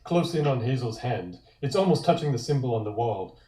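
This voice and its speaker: A man's voice: mid-range voice, lively intonation, brisk, dark tone. A man narrating scene directions from a comic script at a table read. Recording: echoey room.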